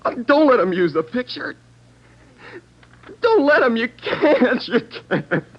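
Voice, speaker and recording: An actor playing a sullen, pleading twenty-year-old petty thief, defiant and easily panicked, in an old-time radio crime drama. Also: male voice, extremely high pitch, very animated, slow pace, full character voice. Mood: frantic pleading. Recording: dull vintage radio recording.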